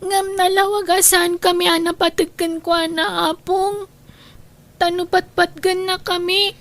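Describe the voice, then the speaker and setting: A woman's voice: very high-pitched, level tone, unhurried, fairly bright tone, exaggerated voice. A woman playing an anxious, plaintive young granddaughter with an Ilocano accent in a radio drama.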